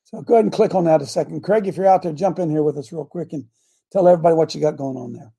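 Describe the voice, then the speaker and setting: An older man hosts a loose live chat show in a raspy, gravelly voice. He sounds hoarse and clears his throat often. His tone is dark and husky, and his pitch sits higher than most men's. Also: very fast. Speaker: show host.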